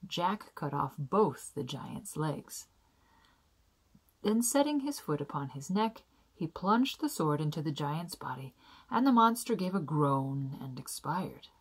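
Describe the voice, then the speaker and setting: A young woman narrates a storybook tale for children. Her voice sits low for a woman and has a husky, breathy grain. She reads in a deliberate, careful way, as a storyteller in a small room. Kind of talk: reading a children's fairy tale aloud.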